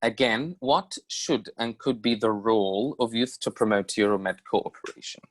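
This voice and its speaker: A man moderating a video-call forum, speaking haltingly with many fillers and repeating his questions. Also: fairly low pitch. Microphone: computer mic on video call.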